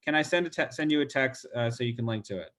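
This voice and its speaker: A man with a stuffy nose talks through a real estate call script over a video call. His pitch is mid-range, and his delivery is chatty and informal, full of ums and restarts. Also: fast talker, fairly bright tone. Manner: casual, chatty, many fillers. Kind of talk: role-play training demo.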